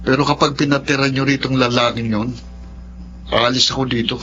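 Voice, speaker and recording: Man acting firm young adult son, medium pitch, protesting, earnest, Filipino radio drama. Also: fairly even intonation, a little fast, sharp tone, dull audio, faint music behind, lightly acted. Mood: defiant, hurt. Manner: earnest, emphatic.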